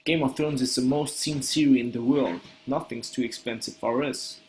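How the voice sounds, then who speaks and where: A young man playing a male actor who answers an interview question with a relaxed, slightly boastful manner. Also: medium pitch, moderate pace, background noise, natural voice. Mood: offhand.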